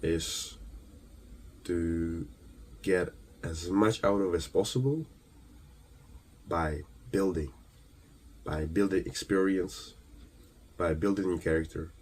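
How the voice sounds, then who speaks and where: Man, very low voice, slow halting delivery, earnest motivational rant, faint-to-clear background noise. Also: darkish tone. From Ukraine. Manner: earnest, emphatic, halting delivery with rhetorical questions. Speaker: motivational speaker.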